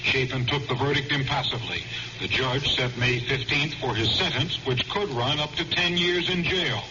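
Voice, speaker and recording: A man's voice: mid-range voice, normal speed. An American man giving a scripted radio report as a news correspondent. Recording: muffled radio with clear noise.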